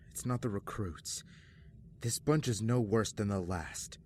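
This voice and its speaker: A man playing an irritable military squad captain in an anime parody, curt and gruff. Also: lowish voice, slow pace, a little mellow, a little hiss or hum. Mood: guarded, curt.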